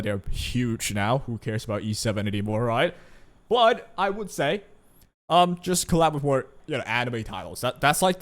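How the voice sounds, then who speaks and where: A young man who grew up speaking Chinese talks through game news in loose, rambling commentary. His voice sits at an ordinary middle pitch, and he keeps tossing in "right?" to check in with his viewers. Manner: chatty, rambling, frequent "right?" asides. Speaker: gaming YouTuber. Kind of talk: casual commentary.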